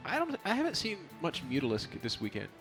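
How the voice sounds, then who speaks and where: Male game caster, heavy vocal fry, lively pitch, joking banter, casual delivery. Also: quick pace. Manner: teasing, joking. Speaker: esports commentator. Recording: faint music behind the voice. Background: music.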